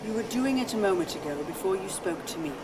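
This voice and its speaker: Woman acting cool tired wife in a radio play, measured n detached, bit far from mic, noisy background. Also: expressive, close to an everyday voice. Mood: weary, resigned. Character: weary, cool, matter-of-fact wife of a troubled man. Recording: distant from the mic, noisy ambient rumble.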